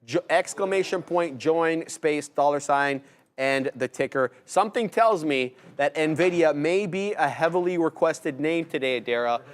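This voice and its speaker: A young man with a slightly raised voice co-hosts a live trading show. He calls out price levels quickly and jokes with his co-host in loose trader slang. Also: a little high. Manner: energetic, jokey, uses trader slang. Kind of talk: live market commentary.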